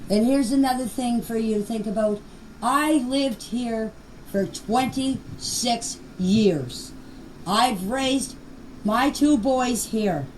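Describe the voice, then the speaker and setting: An older woman with a high voice gives a blunt, emphatic personal account. She talks slowly and deliberately, as in an unscripted voice message. The amateur clip is noisy and picked up from a distance. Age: 60s or older. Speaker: grandmother giving her personal account.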